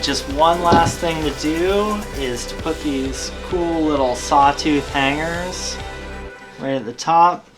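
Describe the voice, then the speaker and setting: A man's voice: fairly high pitch, slow pace. Casual man hosting a tutorial over music. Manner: informal, easygoing. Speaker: host of a DIY build tutorial. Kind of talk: casual hands-on tutorial talk.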